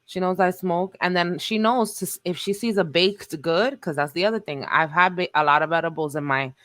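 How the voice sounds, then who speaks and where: Casting note: deep-voiced New woman, talkative podcast guest, dry close recording. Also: brisk, no room sound. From New York. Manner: animated, laughing, talkative. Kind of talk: casual chat.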